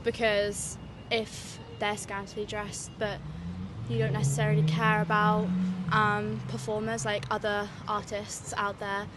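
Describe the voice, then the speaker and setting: A woman's voice: mid-range voice, monotone. Earnest woman interviewed on a noisy street. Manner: earnest, quick, filler-heavy reasoning. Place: city street outdoors. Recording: street interview with clear traffic noise. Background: traffic.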